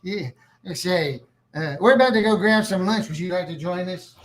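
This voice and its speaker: A man's voice: high voice, normal speed, slight background noise, natural voice. A man playing a genial, folksy, easily amused small-town official in a table read of a comedy series. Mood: chatty, friendly.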